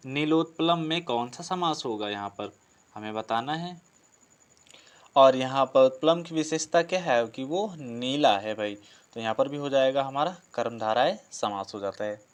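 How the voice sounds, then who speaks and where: A man's voice: medium pitch, measured pace, clean tone. A man from teaching like a coaching instructor, posing questions and explaining answers with frequent repetition. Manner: explanatory, repeats and rephrases answers, asks himself questions. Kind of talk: Hindi grammar lesson, working through practice questions.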